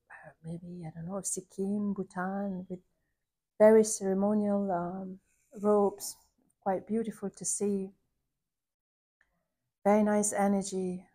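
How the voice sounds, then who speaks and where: Woman, soft thoughtful storytelling, lots of restarts and pauses, mid-pitched. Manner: hesitant, searching for words, many restarts; soft and contemplative, rising to warm emphasis. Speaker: podcast host recounting a visit. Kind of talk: reflective personal storytelling.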